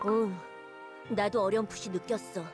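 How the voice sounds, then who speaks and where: A woman voicing a thoughtful, serious, earnest character in a game cutscene, speaking in a measured way. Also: mid-range voice, steady tone, over music, natural voice. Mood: pensive, uneasy. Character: thoughtful female living cookie, serious and earnest. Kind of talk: scripted video game cutscene acting.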